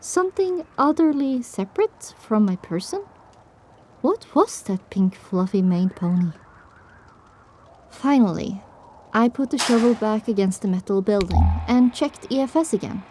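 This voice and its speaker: Dark, mellow female story narrator. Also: a little high, lively intonation, unhurried. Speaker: audiobook narrator. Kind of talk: scripted first-person story narration.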